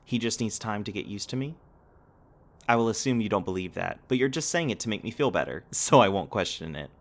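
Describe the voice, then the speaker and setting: A man's voice: lowish voice, animated, brisk. A man playing a polite, curious companion robot in an ASMR roleplay, speaking formally and precisely with a literal-minded courtesy. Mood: curious, thoughtful. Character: polite, curious, eager-to-please male humanoid companion robot. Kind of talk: ASMR roleplay audio drama acting.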